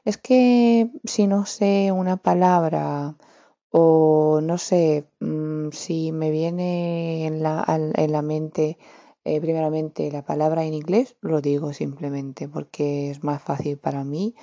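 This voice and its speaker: Woman, slow and dark-voiced. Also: low-pitched, fairly even intonation, no echo, dull audio. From Romania. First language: Romanian. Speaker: podcast host. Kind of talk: casual solo podcast, reflective.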